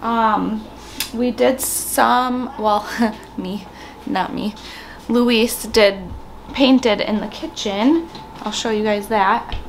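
A woman in her thirties with a raspy morning voice, chatting casually to a handheld camera as she vlogs her day at home. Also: a little high, drawn-out words, slight background noise. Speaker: family vlogger and mother. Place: rooms at home, indoors. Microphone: camera at arm's length, sometimes set down.

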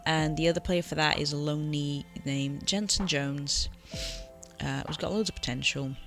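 A man with a cold talks his way through a football management game in a chatty, rambling way, full of fillers and quick self-corrections. His voice is bright and gravelly, a little high for a man. Faint music plays underneath. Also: steady tone. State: has a cold. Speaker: game channel host. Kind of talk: casual gameplay commentary. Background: faint background music.

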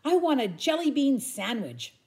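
A woman's voice: high voice, big pitch swings, moderate pace, voice pushed up. A woman voicing an eager, impulsive young boy teddy bear in a storybook. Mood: excited. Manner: shouting, impulsive, whiny when thwarted.

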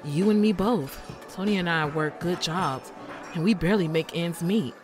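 A woman plays a practical working woman turned thief in an audio drama. Her voice is fairly low and steady, with music clearly behind it. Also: normal speed, natural voice. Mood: caring, resigned.

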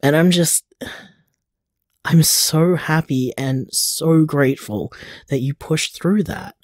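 A man playing a loving, supportive boyfriend in an audio drama, speaking earnestly and haltingly, with casual tenderness. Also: fairly high pitch, dry recording, clean studio sound. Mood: tender, loving. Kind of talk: audio drama voicemail acting.

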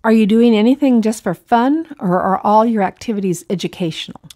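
A woman's voice: medium pitch, brisk, dark tone. A woman working as an interviewer, asking short scripted questions.

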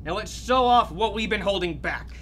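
A man acts out a cocky, bullish army commander in a radio play. His voice is high and bright, barking with brash authority over faint noise. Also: moderate pace. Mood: brash confidence. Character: overconfident, bullish male American military commander. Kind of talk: audio drama acting.

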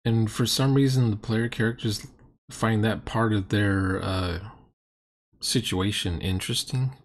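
A man's voice: fairly low pitch, a little slow. A middle-aged man giving blunt, mocking commentary as he reacts to a game, in a sarcastic manner. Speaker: host reacting to a recorded roleplaying game.

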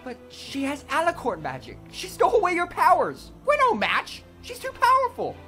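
An actor playing an earnest, guilt-ridden young male attendant to a princess in an animated drama, apologetic and pleading. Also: very high voice, normal speed, soft background music, full character voice. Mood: alarmed.

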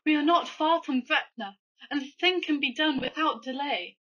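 A woman acting an anxious, florid Victorian gentleman lover with grave formality in a farcical radio play. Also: high-pitched, moderate pace. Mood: uneasy, ardent. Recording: dull lo-fi home recording.

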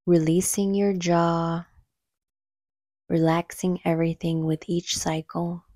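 A woman leading a guided meditation in a soothing, gentle, unhurried manner, with soft breathy phrase endings. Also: lowish voice, flat delivery, no room sound, clean studio sound. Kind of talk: calm guided meditation narration.

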